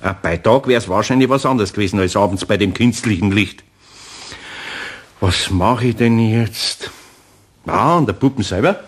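An older man with a Bavarian accent playing a kindly old master carpenter in a radio play, grumbling slowly and good-naturedly. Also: on the low side, husky. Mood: musing.

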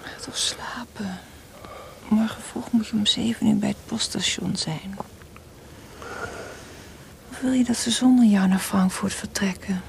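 A woman playing a composer's sleepy, practical wife in a radio drama, hushed and gently scolding. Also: mid-range voice, steady tone, unhurried, vocal fry, pauses often, whispered. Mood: drowsy.

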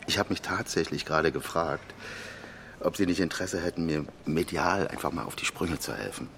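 An actor playing a middle-aged conservative politician in a radio drama, reserved and courteous, speaking in formal, hesitant phrases. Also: male voice, rumbling low, moderate pace, a bit bright, slight background noise. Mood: courteous, probing. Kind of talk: naturalistic radio drama dialogue.

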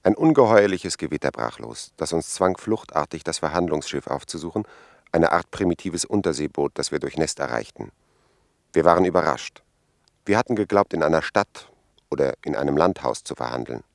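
An actor playing a grave expedition member who gives a formal, measured eyewitness report in a 1950s German radio drama. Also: male voice, very deep voice, expressive, creaky, no room sound, studio quality, full character voice. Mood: dry, reporting.

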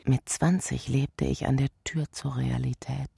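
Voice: medium pitch, steady tone, measured pace, vocal fry, mellow, breathy edge, no echo, clean studio sound. A performer reciting poetry for a radio drama, with music behind the voice. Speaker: performer reciting German translation. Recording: radio production with music underneath.

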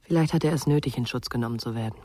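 A woman's voice: extremely low pitch, very fast, dark tone. An actress playing a frank, sceptical woman in a radio crime drama, asking pointed, reproachful questions. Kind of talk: 1990s German radio crime drama acting.

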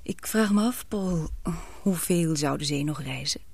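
A young woman in a radio play, with a very breathy, fairly low voice. She is a devoted daughter and fiancée, expressive, questioning and emotionally open. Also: a little slow, heavily acted. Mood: tender, wistful. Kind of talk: radio drama acting.

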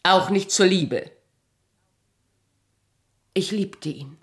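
A woman playing a proud, bitter abandoned wife in a radio drama, sharp-tongued, imperious and sardonic in a theatrical style. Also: lowish voice, drawn-out words. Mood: bitter, reflective.

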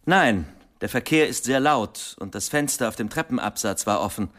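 An actor playing a factual police inspector in a radio play, reporting in a matter-of-fact, official manner. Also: male voice, medium pitch, expressive, moderate pace, a bit bright, close to an everyday voice. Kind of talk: German radio play acting.

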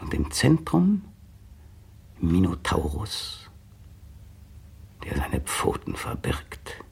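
An actor voicing a tense, bitter man in an inner monologue for a 1960 radio drama, with precise, brooding diction and a husky voice. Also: sits low, wildly swooping pitch, extremely slow, exaggerated voice. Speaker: male narrator of a radio play.

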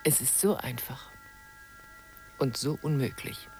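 An actress playing a yearning, flirtatious portrait sitter in a radio play, intimate and expressive. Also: female voice, very deep voice, takes it slowly, creaky, faint music behind, exaggerated voice. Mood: awed. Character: yearning, flirtatious female portrait sitter, awed by her painter. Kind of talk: German radio play acting.

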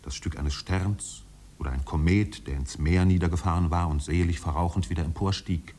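An actor playing a solemn literary storyteller, narrating a 1950s German radio drama in a measured, dramatic manner. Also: male voice, darkish tone. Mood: measured, wistful. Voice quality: deep, husky.

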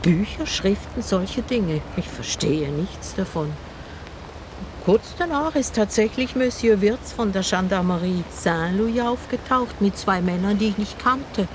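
A woman's voice: mid-range voice, expressive, measured pace, noisy background, lightly acted. An actress in a radio drama playing a talkative old river boatwoman with a wry, chatty, teasing manner. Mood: indignant. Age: older.

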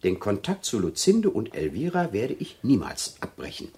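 An actor playing a cultivated, middle-aged male prison inmate and seducer in a radio drama, courteous and flattering with hesitant pauses. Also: lowish voice, slightly rough, heavily acted. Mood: confiding. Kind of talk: German radio drama acting.

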